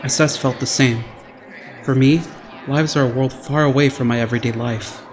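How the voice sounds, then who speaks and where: Performer as an earnest, mid-pitched young male idol. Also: steady tone, normal speed, crowd noise, lightly acted. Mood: pensive. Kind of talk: fan dub anime game voice acting.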